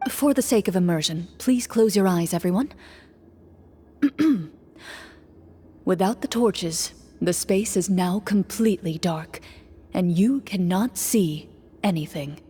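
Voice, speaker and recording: A woman voicing a composed game master in an anime-style game, narrating in a measured, formal, dramatic way. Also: lowish voice, mellow, slightly rough. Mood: solemn, measured.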